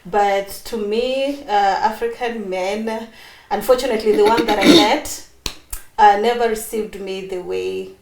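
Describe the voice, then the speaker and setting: A woman's voice: medium pitch, unhurried, gravelly, reverberant, a little hiss or hum, poor audio. A woman in her thirties with a Kenyan accent, telling her personal story as an interview guest in a bubbly, chatty way. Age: 30s. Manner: bubbly, chatty, with many fillers and laughs.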